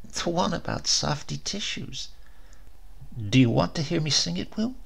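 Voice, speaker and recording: A man's voice: on the high side, expressive, normal speed, creaky, slightly rough, pitched above the performer's own voice, full character voice. A man voicing a lonely, worn-down housewife in a story, wistful and dazed. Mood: strangely dreamy. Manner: dull, forlorn, strange.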